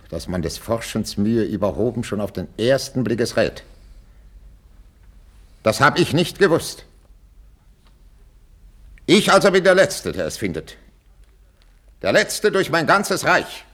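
An actor playing an aging, jealous and imperious king in a 1950s German radio drama, husky and declamatory. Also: fairly low pitch, moderate pace, few pauses, a little mellow. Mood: outraged. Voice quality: gravelly, husky. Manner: declamatory, swinging between whispers and outcries. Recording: old 1950s radio recording.

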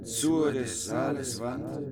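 A man's voice: mid-range voice, lively intonation, slow pace, over a noisy crowd. A man reciting medieval verse as a bard in a radio play. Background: prominent music.

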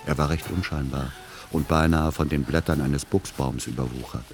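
An actor playing a middle-aged, exasperated literary aesthete son who also narrates a radio play in measured words. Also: male voice, rumbling low, fairly even intonation, music in the background. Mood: melancholic, reflective.

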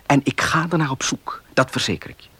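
A man playing a determined, suspicious fiancé in a Dutch radio play, earnest and insistent, with a husky, breathy voice. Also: mid-range voice, big pitch swings, a little fast, sharp tone, whispered, full character voice.

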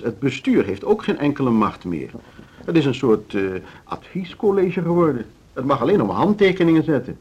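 An actor in a Dutch radio play playing a middle-aged board chairman, formal, deliberate and courteous but unbending, with a Netherlands accent. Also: medium pitch, slightly rough. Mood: indignant. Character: measured, legalistic male board chairman of a music school.

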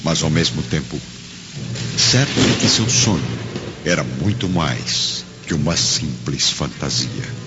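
A man narrating a scripted radio drama in a formal, measured storytelling manner. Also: rumbling low, expressive, loud music behind the voice. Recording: dull, noisy lo-fi radio drama recording.

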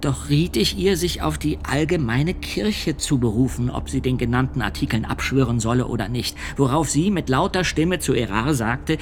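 A man's voice: mid-range voice, slight background noise, lightly acted. A man playing a grave church usher witness. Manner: measured, sombre telling, hushed at times. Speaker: witness testifying at a trial. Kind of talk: radio drama testimony. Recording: music under the voice.

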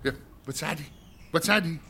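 An actor in a radio drama playing a greedy, excitable underworld boss with a brusque manner. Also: male voice, fairly high pitch, moderate pace, background noise, lightly acted. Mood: amazed.

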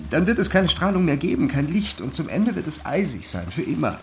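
Obsessed physicist, raised pitch, halting. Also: male voice, very animated, background noise. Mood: fervent, obsessed. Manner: intense, halting, rambling, visionary monologue. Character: obsessed male physicist lecturing feverishly on time and decay. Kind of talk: radio drama acting. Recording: music behind the voice. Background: music.

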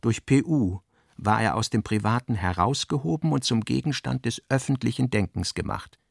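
A man giving a scripted literary narration, reading out notes and drafts in deliberate fragments with pauses. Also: mid-range voice, animated, creaky, dry recording, studio quality. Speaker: radio drama narrator.

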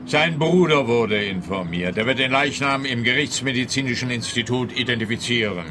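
An actor playing an officious, exasperated police officer in a radio comedy, with a pompous, lecturing manner. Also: male voice, medium pitch, moderate pace, background noise, lightly acted.

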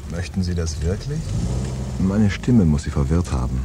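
An actor playing a laconic, world-weary hard-boiled man in a radio crime play, understated and deadpan. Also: sits low, normal speed, background noise. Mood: guarded, wry. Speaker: narrator and lead character in a radio crime play. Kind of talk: scripted hard-boiled first-person narration and dialogue.

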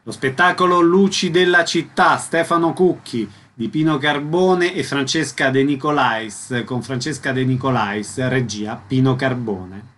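A man reading out theater show credits as a radio announcer, in a plain, even manner. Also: mid-range voice, unhurried, few pauses.

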